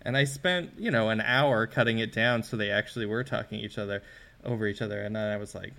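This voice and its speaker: A man's voice: lowish voice, quick pace, creaky, a bit bright. A man talking casually and enthusiastically as a guest on a podcast. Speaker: podcast guest, radio play creator. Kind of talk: casual chat.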